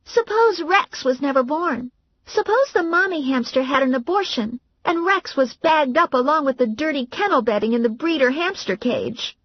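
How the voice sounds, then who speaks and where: A high-pitched, high-strung younger sister, as a woman puts her on, weepy and frantic from line to line. Her voice jumps all over. The audiobook recording is dry and a little dull. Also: animated, moderate pace, no echo. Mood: distraught.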